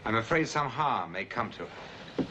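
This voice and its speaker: An actor playing a worried, polished businessman husband in a 1950s film drama, telling his story gravely and with measured phrasing. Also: medium pitch, animated, close to an everyday voice. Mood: grave, intent. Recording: old film soundtrack, faint background noise.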